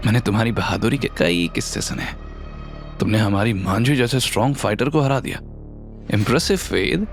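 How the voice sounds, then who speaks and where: A man's voice: fairly low pitch, moderate pace, close to an everyday voice. Man voicing confident, scheming young businesswoman over music. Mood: smooth, persuasive. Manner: challenging, code-switches into English.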